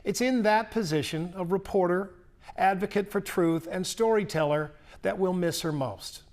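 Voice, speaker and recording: A man's voice: high voice, normal speed, a little mellow. A man anchoring a newscast with a polished, earnest delivery. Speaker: news anchor. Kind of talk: newscast introduction.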